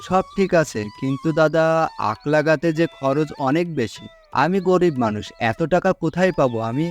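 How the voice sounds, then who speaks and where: A man's voice: a little high, normal speed, lightly acted. A man playing a poor, trusting farmer in a cartoon folk tale, speaking earnestly and deferentially. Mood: humble, earnest. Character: poor, gullible, humble male farmer, trusting and hesitant. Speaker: cartoon voice actor. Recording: music under the voice.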